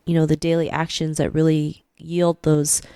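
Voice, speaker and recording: A woman's voice: sits low, monotone, normal speed, warm tone, clear, resonant tone, no echo, clean studio sound. A woman hosting an interview podcast, upbeat and chatty, often saying "you know" and "right". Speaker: podcast host. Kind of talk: casual interview chat.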